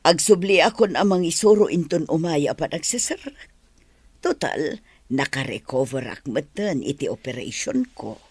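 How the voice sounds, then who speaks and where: A husky, low-voiced mother of middle age in a radio drama. She is tender and devoted, breathy with feeling, and her voice rises and falls freely in a dry, close sound. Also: lively intonation, moderate pace, darkish tone, no echo. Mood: tender, grateful. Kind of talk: Ilocano radio drama acting.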